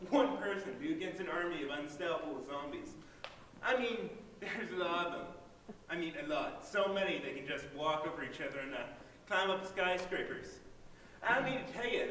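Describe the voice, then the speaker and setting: A man's voice: sits high, a bit bright. A man playing an earnest, hapless young leader of doomed survivors, speechifying in a comedic stage play. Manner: mock-inspirational speechifying. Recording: echoey hall, distant mic, faint noise.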